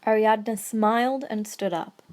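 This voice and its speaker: A woman's voice: a little high, fairly even intonation, a little slow, a little mellow. A woman reading scripted third-person narration for an amateur audio drama.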